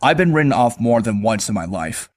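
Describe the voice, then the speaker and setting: An actor playing a sardonic, world-weary young vigilante, narrating and talking in a wry, conversational way in a motion comic. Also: male voice, mid-range voice, very animated, brisk. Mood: earnest. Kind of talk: first-person narration and dialogue, motion comic.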